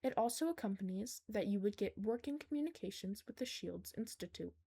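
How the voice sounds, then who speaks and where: Woman voicing a measured, unemotional intelligent creature. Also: medium pitch, expressive, warm tone, slightly rough. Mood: calculating. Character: intelligent captive creature, whiskered, curt, coolly analytical. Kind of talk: audiobook character dialogue.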